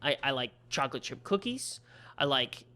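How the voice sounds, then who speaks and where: Joking Midwestern podcast host, bright voice. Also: male voice, mid-range voice, big pitch swings, moderate pace. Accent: American Midwest. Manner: chatty, joking, self-deprecating. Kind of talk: casual interview chat.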